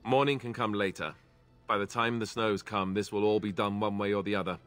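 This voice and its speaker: An actor playing an earnest, hesitant young warrior in a fantasy video game, dutiful and weighed by grief. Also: male voice, fairly low pitch, fairly even intonation, fairly quick, fairly bright tone, close to an everyday voice. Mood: remorseful, sombre. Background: game music.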